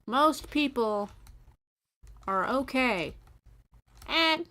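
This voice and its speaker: A woman streaming a building game, chatting casually in a rambling, self-deprecating way, her voice mumblier and deeper than usual. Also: expressive, very slow, sharp tone. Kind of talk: casual gameplay stream chat.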